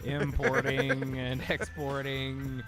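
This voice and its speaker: A man casually riffing as host of a comedy show, animated and salty-tongued as he pitches to fellow performers. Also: slow, over loud music. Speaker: host of an improvised table-read show.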